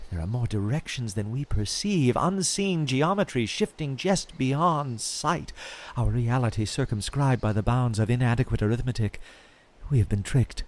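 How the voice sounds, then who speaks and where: A man playing an eerily fascinated field researcher in an audio drama, recording a halting, wondering monologue as he slips toward madness. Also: medium pitch, darkish tone, natural voice. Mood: rapturous, unhinged.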